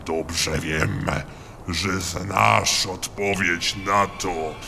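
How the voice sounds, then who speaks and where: An actor playing a brutal orc warlord in an audio drama, growling menacingly at captives. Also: male voice, deep voice, steady tone, extremely slow, pushed and bright, soft background music, full character voice. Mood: contemptuous threat. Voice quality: gravelly growl.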